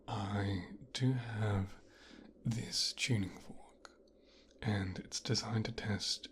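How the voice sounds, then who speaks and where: A man with a low voice plays a mafia boss's son in an ASMR roleplay. He talks very slowly and softly, slipping often into a whisper, giving teasing commands right at the microphone. A faint noise sits behind him. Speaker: ASMR voice actor performing a roleplay. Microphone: close microphone.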